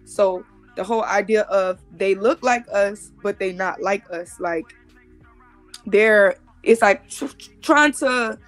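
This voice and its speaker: A woman in her thirties, joining a live stream over a video call and explaining things emphatically, often repeating herself. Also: mid-range voice. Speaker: guest.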